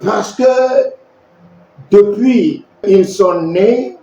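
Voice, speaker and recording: A man preaching a sermon in measured phrases, pausing for his interpreter. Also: sits high, mellow. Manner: slow, measured preaching with pauses for translation. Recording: echoey, muffled church recording.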